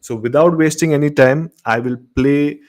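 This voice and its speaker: A man's voice: fairly high pitch, moderate pace. A man with an Indian accent informally hosting a horror show and telling stories, often repeating words and phrases. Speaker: host of a horror radio show.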